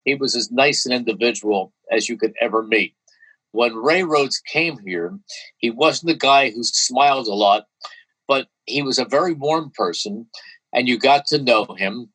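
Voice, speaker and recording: A man's voice: mid-range voice, unhurried, a bit bright, breathy edge, low-quality recording. A man in an interview, fondly and steadily recalling a former coach. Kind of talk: reflective interview.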